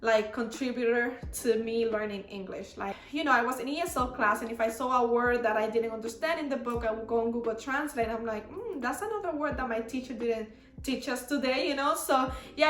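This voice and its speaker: A woman's voice: a little high, fairly bright tone, reverberant. A young woman from the Dominican Republic with a Dominican Spanish accent, talking casually to her camera with lots of "like" and "you know" fillers. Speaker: YouTuber.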